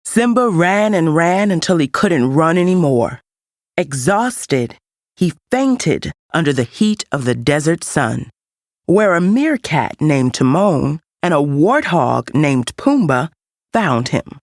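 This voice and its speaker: A woman reading a scripted children's read-along story as an expressive storyteller. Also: sits low, slow pace, no room sound, clean studio sound. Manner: expressive storytelling with character voices. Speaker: storybook narrator.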